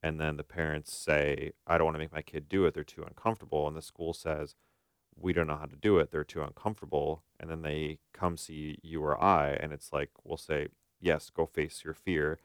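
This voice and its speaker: A man's voice: a bass voice, little pitch movement, moderate pace, smooth, no echo, studio quality. An American man co-hosting a podcast as a therapist, explaining his views casually and acting out bits of imagined dialogue.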